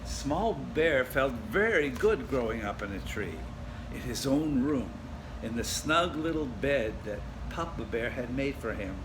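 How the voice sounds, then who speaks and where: Male reader for kids' storybook, mid-pitched, expressive with chatty asides, audible background noise. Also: measured pace. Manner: dramatic, addresses listeners.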